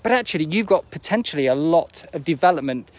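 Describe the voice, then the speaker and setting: Lively, higher-voiced man in his forties. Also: fast talker. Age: 40s. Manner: conversational, reflective, checks in with rhetorical questions. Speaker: running coach hosting a live video. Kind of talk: casual motivational talk to viewers, live video. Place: outdoors, walking uphill near an airport.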